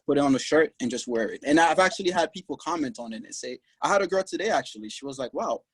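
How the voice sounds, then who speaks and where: A man's voice: fairly high pitch, animated, husky, no room sound. A young man from Haiti, a guest on a podcast, speaking earnestly and proudly from his clothing shop over a video call. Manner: earnest and proud, speaks in short halting bursts. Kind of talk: casual interview talk.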